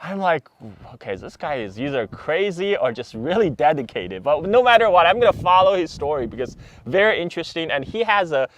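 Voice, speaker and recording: Man in his forties, native Chinese speaker, slightly high voice with swooping pitch, relaxed anecdotal guest chat. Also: a little fast, lo-fi sound. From Chongqing, China, moved to the United States at thirteen. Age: 40s. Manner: animated storytelling, chuckling often. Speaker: live stream guest. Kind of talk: casual chat with personal anecdotes. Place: outdoors on the Great Wall.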